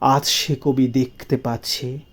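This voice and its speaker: A reciter performing poetry in a theatrical, emotive way that moves between hushed and full-voiced delivery, with music behind. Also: mid-range voice, flat delivery, takes it slowly. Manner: theatrical, swelling from hushed murmurs to loud emotive lines. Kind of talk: expressive dramatic poetry recitation over music.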